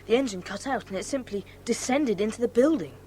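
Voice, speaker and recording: Woman as an eager, high-voiced adventurous schoolboy. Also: expressive, dark tone, a little hiss or hum, close to an everyday voice. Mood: earnest. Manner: brisk, earnest, old-fashioned schoolboy slang. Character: bold, eager, adventurous schoolboy leading his friend. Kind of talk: dramatised children's audiobook acting.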